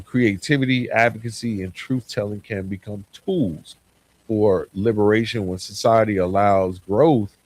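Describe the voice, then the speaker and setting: Man, low voice, podcast host, reflective monologue, emphatic repetition. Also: low-quality recording. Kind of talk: solo podcast monologue, reflective year-end recap.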